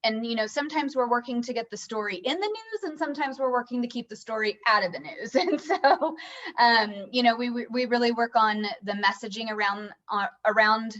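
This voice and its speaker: A woman's voice: a little high, a little fast, a bit bright, dull audio. Rambling businesswoman on a video call. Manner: casual, rambling with fillers and restarts. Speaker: communications firm vice president. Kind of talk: self-introduction on a video call.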